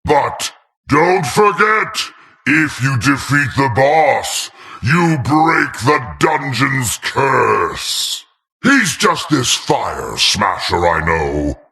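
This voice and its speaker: A man's voice: mid-range voice, very animated, drawn-out words, bright, forceful tone, heavily acted. A man voicing a manic, gleeful, taunting fiery dungeon master in a video game, with theatrical emphasis. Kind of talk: video game character voice lines.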